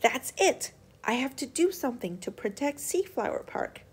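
Young male turtle hero for a storybook, high, eager, polite, earnest played by a woman. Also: big pitch swings, normal speed, breathy edge, heavily acted. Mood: determined. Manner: eager, pleading, exclamatory.

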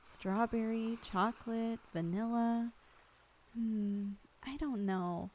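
Young woman, slightly higher voice, ASMR girlfriend roleplay; soft, affectionate, lightly teasing. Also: slow, a little hiss or hum. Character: loving long-distance girlfriend, young woman, teasing and tender.

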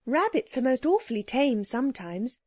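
A woman's voice: high voice, sing-song, normal speed, husky, dry recording, studio quality, pitched above the performer's own voice, full character voice. A woman doing an eager little girl.

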